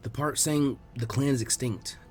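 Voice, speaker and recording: A man's voice: medium pitch, normal speed, lightly acted. A man voicing a blunt, easygoing boy who is training as a ninja, with a casual way of talking. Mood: subdued, curious.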